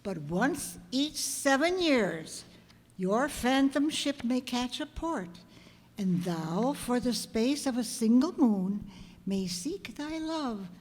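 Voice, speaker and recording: A woman's voice: a little high, big pitch swings, takes it slowly, heavily acted. A woman playing a stern messenger of God in a radio drama, giving grand, solemn, archaic pronouncements. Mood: grave. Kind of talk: old-time radio drama acting. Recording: echoey hall with long reverb.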